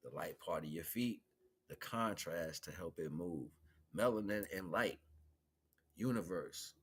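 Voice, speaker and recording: Bright-voiced American man, informal spiritual monologue. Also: deep voice, a little slow, breathy edge. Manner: animated, colloquial, preaching with repeated phrases. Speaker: livestream host.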